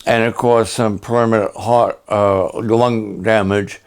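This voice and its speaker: Slow, raspy-voiced older male pastor. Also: fairly low pitch, fairly even intonation. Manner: slow, halting, plainspoken, repeats himself. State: hoarse after illness and a long program. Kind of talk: slow personal update to supporters.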